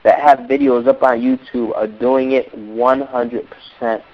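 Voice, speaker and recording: Hoarse man coaching over a dull call. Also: medium pitch, steady tone, unhurried. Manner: emphatic, repeats words for stress. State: hoarse from a cold. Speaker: music business coach. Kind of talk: motivational teleseminar talk.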